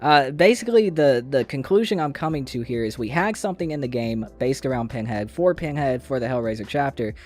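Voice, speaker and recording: A man's voice: mid-range voice, lively intonation, fairly quick, hardly any pauses. A man with a mid-range voice delivers gaming news commentary as a video host. His delivery is informal and conversational, with slang and the odd mild curse. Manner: informal, slangy, mildly profane.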